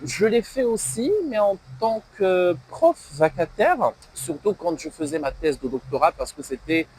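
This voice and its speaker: Husky man, hesitant speech. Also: sits high, big pitch swings, a little slow, darkish tone. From Morocco. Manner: hesitant, many filler sounds. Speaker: guest, French teacher and banker. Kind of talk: interview.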